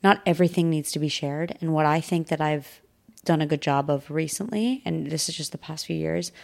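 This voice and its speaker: A woman in her thirties with a low, gravelly voice. She talks earnestly as a podcast guest, thinking aloud with small restarts. The pace is unhurried and conversational. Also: darkish tone. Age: 30s. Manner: reflective, earnest, talks through thoughts with restarts. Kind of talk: casual conversation.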